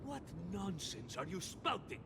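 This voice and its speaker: A man playing a scornful, zealous warrior in a video game, declaiming bombastically in a gravelly voice. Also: wildly swooping pitch, measured pace, heavily acted. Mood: contemptuous. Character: scornful, zealous male warrior loyal to his king. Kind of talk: video game character dialogue. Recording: clear rumbling background noise.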